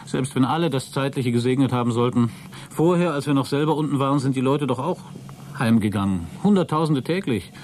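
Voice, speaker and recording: A man's voice: mid-range voice, expressive, fairly quick, darkish tone, slight background noise, lightly acted. A man acting a sceptical, sardonic astronaut in a German radio play, with a dry, matter-of-fact delivery. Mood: cynical. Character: sceptical, sardonic male astronaut on a lonely spaceflight.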